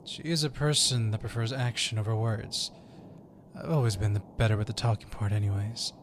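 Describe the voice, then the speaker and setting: A man playing a composed undercover agent posing as a job applicant in an audio roleplay, polite and measured. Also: on the low side, fairly even intonation, slight background noise, lightly acted.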